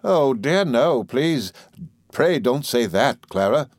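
A male reader puts on a severe Victorian spinster from a storybook, pitched high. She is sour and sharp-tongued, leaning hard on her words and drawing them out with disapproval. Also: expressive, dry recording, clean studio sound, close to an everyday voice. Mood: indignant. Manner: emphatic, drawn-out, disapproving. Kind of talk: audiobook character in a Victorian novel.

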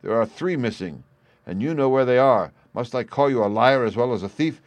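A man's voice: lowish voice, a little fast, no echo, close to an everyday voice. A man voicing an older respectable father in an audiobook, anguished and stern, formal, emphatic and accusing. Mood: furious accusing. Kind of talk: audiobook character dialogue.

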